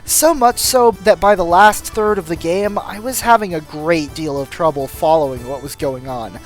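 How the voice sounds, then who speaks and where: A man's voice: on the high side, normal speed, soft background music. A man reading scripted voice-over commentary as a video game reviewer.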